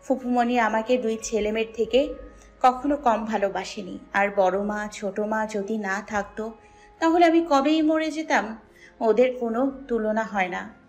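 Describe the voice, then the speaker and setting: A woman playing a devoted, soft-hearted young daughter in an audio story drama. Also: a little high, a little slow. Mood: reassuring, wistful. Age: young adult.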